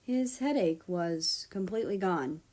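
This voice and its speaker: A woman's voice: fairly low pitch, animated, measured pace, dark tone. A woman narrating a scripted story as a reader of fan fiction.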